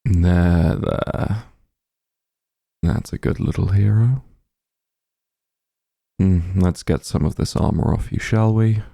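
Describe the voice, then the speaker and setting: A man playing a possessive, doting immortal demon lord in an intimate ASMR roleplay, teasing and smug, speaking softly in a gravelly, breathy voice. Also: low voice, dry recording, studio quality, exaggerated voice. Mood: soothing, tender. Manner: slow, soft, teasing coaxing.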